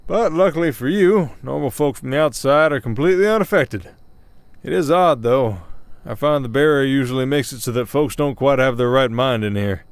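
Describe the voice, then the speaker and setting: A man's voice: medium pitch, smooth, dry recording, lightly acted. A man playing a weary, protective werewolf sheriff of the Old West in an ASMR roleplay, with a folksy American Southern accent and a hesitant storytelling manner.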